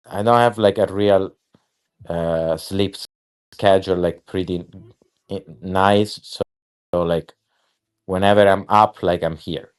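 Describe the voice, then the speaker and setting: A man with a lowish, smooth, clear voice talks slowly in a casual online chat. He rambles, swears freely, and fills gaps with "like" and "uh". He often restarts his sentences. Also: darkish tone. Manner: rambling, profane, many fillers and restarts. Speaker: guest artist.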